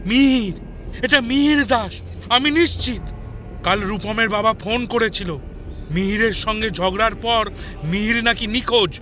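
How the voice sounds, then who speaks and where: A man's voice: extremely high pitch, bright, forceful tone. A man playing a proud, alarmed head of a village family in an audio horror drama, agitated and theatrical.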